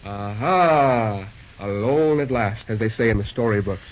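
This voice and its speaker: A man's voice: lowish voice, lively intonation, a little slow. An actor playing a brash, determined young American railroad man in a breezy, wisecracking old radio drama style. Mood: teasing, cocky. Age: young adult. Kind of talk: 1940s radio acting. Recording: muffled vintage radio recording.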